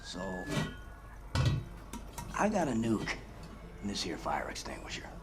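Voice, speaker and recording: An actor playing a gruff, tough resistance soldier with a Southern American accent in a video game, terse and folksy. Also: male voice, lowish voice, wildly swooping pitch, a little slow, over music. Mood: grim resolve. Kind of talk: video game cutscene acting.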